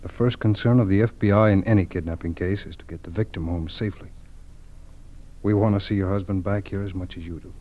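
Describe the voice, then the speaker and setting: An actor playing a calm, businesslike FBI agent with a measured, methodical manner in a 1940s radio drama. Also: male voice, low-pitched. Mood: reassuring. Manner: measured, methodical questioning, reassuring. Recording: tinny, band-limited old radio recording.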